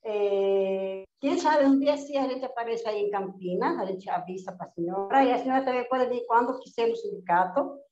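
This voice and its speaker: A woman's voice: on the high side, normal speed, hardly any pauses, darkish tone, roomy sound, highs cut off, slight background noise. A woman with a Spanish accent, a union director speaking earnestly and encouragingly in a casual radio chat. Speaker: domestic workers' union director.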